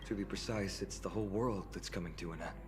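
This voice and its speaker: A man voicing a courteous, earnest priest in a game, explaining things in a measured way. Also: low-pitched, noisy background, lightly acted. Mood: calm. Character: polite, earnest male priest host. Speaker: game character. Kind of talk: game voice acting.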